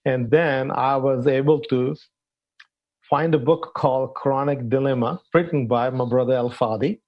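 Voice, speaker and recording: Older Pakistani-born man, interview guest, muffled lo-fi sound, halting delivery. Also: medium pitch, steady tone. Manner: halting, many fillers, non-native phrasing. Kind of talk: telling his life story in an interview. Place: at home, in a room. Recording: dull lo-fi sound.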